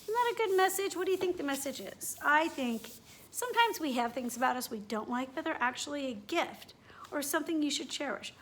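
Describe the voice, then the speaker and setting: Woman doing storytime and chatty demos for kids, high bright voice, asks the kids questions. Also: lively intonation, brisk, slight background noise. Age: adult. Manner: asks listeners questions, addresses children directly. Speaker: read-aloud host for children. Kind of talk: children's read-aloud and chatty demonstration.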